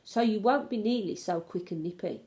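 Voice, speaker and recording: A woman's voice: medium pitch, normal speed, mellow, natural voice. A woman voicing a shrewd, affectionate old grandmother in a storybook, with an emphatic, doting manner. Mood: cautioning.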